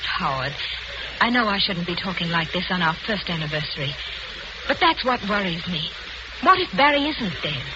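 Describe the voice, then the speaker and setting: An actress in 1940s radio style playing an anxious, theatrical wife who dreads a threat, speaking with dramatic emphasis. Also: female voice, on the low side, lively intonation, moderate pace, background noise, lightly acted. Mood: fearful, brooding. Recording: muffled old radio broadcast with music.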